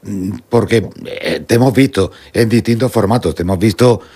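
A man's voice: fairly low pitch, normal speed, dark tone, breathy edge. A man from Murcia interviewing a guest, asking questions with hesitant fillers. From Murcia, Spain.

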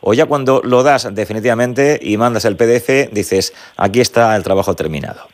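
A man's voice: mid-range voice, a little fast. Curious male radio interviewer. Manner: curious, asks with fillers like "eh" and "oye".

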